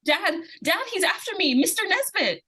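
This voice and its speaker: A woman playing an earnest, excitable young daughter in an old-time radio suspense drama. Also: very high-pitched, moderate pace, full character voice. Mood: frantic, pleading.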